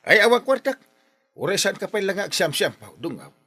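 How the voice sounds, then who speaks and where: A man's voice: high voice, sing-song, a little fast, bright, forceful tone, breathy edge, no echo, exaggerated voice. A man playing a flustered, hapless and complaining village captain in an Ilocano comedy drama, exclaiming in exasperation with an Ilocano accent. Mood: evasive.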